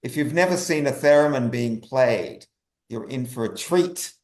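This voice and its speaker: A man speaking as an interview guest, enthusiastic and halting, often repeating phrases as he goes. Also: mid-range voice, a little mellow. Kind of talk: conversational interview talk. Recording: roomy echo.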